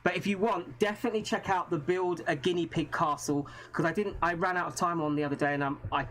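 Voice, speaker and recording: A man's voice: fairly high pitch, fairly even intonation, fast, fairly bright tone. A man from England with an English accent, hosting a live stream in a casual, chatty way and often thanking his viewers. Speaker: host.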